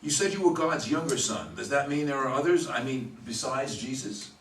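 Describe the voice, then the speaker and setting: An actor in a stage play reading, playing a sarcastic, hungover man in his fifties with a halting, wisecracking delivery, recorded roomy and far from the mic. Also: mid-range voice, a bit bright, lightly acted. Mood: sardonic. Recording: roomy, distant mic, faint noise.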